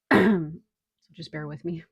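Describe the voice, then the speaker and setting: A woman reading tarot for her viewers, slightly hoarse from a cold, unhurried and reassuring, with frequent ums. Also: deep voice, no room sound, lo-fi sound. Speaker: tarot reader.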